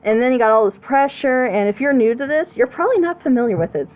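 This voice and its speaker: A woman's voice: high-pitched, expressive, fast. Female reaction host, emphatic and rambling, casual delivery, phone-like narrow sound. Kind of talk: casual chat, opinionated commentary.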